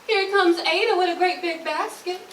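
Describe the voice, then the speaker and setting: A woman's voice: very high voice, normal speed, fairly bright tone. A woman acting an aloof, indignant woman in a stage play, with a dismissive manner. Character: aloof, indignant young Southern woman brushing off suitors. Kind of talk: readers theatre stage acting. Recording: echoey, distant, faint noise.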